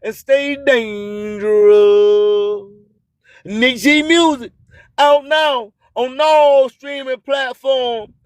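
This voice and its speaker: A man's voice: extremely high pitch, fairly even intonation, extremely slow, clear, resonant tone, dry recording. A man hosting an internet radio show, delivering a motivational spoken-word monologue in a preachy manner full of repeated, rhyming catchphrases.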